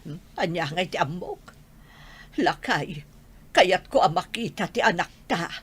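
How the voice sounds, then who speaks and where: A woman's voice: on the low side, animated, measured pace, slightly rough. An older woman acting a worried elderly mother and grandmother in a Filipino audio drama.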